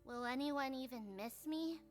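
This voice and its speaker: A woman as a high-pitched, hot-tempered magical girl. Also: moderate pace, soft background music, lightly acted. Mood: despairing. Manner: dramatic, sarcastic, exasperated outbursts. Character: hot-tempered, self-pitying young magical girl in distress. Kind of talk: visual novel character voice acting.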